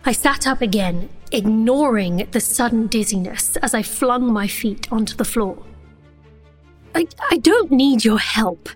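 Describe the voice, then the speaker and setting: Defiant, anxious young sorceress princess, higher-pitched. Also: female voice, lively intonation, a little slow, faint music behind. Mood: angry, tense. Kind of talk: first-person narration and fantasy drama acting.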